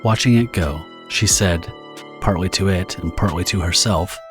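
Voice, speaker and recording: A man reading scripted, dramatic story narration for an audio drama in a husky voice. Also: low voice, moderate pace, faint music behind.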